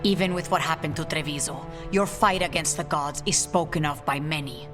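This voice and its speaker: A dignified, hopeful leader from a fantasy game, acted by a man. The delivery is measured and formal, with a long hall reverb. Music is clearly heard behind the voice. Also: fairly high pitch, lightly acted. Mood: earnest, hopeful. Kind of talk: dramatic video game acting.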